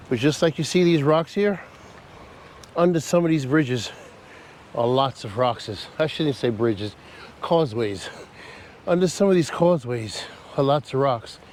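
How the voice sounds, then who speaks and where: A man's voice: medium pitch, a little mellow, a little hiss or hum. A man with a nasal voice from a cold, chatting casually and laughing at himself while walking through an outdoor park for his travel vlog. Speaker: travel vlogger. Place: outdoor park by a causeway bridge.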